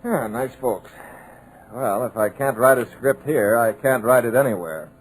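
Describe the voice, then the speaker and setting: A man's voice: medium pitch, unhurried, a little hiss or hum, close to an everyday voice, rough recording. A man playing a wry, uneasy scriptwriter. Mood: breezy. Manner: folksy, musing aloud, wry asides. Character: wisecracking young male scriptwriter, nervous underneath. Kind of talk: 1940s radio acting.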